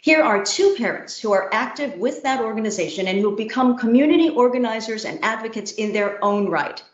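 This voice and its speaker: A woman with a fairly high voice presents scripted remarks at an event. Her delivery is clear and measured. The recording sounds roomy and a little muffled. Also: lively intonation. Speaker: event host.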